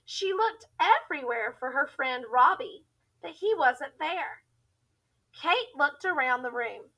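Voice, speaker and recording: Female teacher narrator for a children's read-aloud: bright, animated, deliberate storytelling. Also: high-pitched, rough recording.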